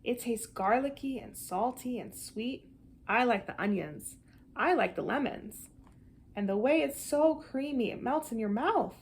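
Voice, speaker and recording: A woman's voice: a little high, expressive, measured pace, a little hiss or hum. Woman as an eager, curious young child. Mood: pleased. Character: curious, doubtful young children, friends of the boy.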